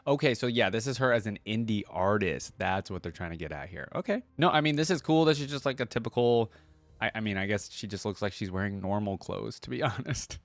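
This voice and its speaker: Low-voiced man reacting casually. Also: expressive, brisk, no echo. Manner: rambling, thinks aloud, frequent "like" and "you know". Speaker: reaction video host. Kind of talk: casual off-the-cuff reaction commentary.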